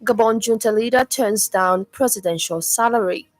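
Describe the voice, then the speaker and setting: A woman with a Zambian accent reading a scripted radio news bulletin in a formal, measured way. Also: on the high side, over music. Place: radio studio.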